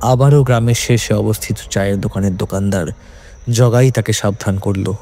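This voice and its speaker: A man's voice: on the low side, steady tone, normal speed, warm tone, a little hiss or hum. A man narrating a scripted horror story in a dramatic, suspenseful manner.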